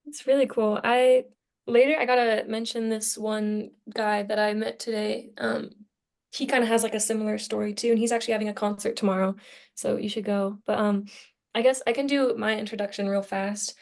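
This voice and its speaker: A woman's voice: mid-range voice, fairly even intonation, fairly quick, creaky, a little mellow. Young American woman, student podcast co-host; mid-range voice, chatty, informal, thinks aloud. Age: young adult. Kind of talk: casual chat.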